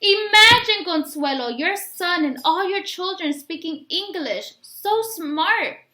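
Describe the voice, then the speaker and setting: A woman's voice: extremely high pitch, expressive, slow, pushed and bright, roomy sound, pitched above the performer's own voice, full character voice. A woman voicing an admiring, chatty village woman in a storybook, with emphatic exclamations. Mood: excited, impressed.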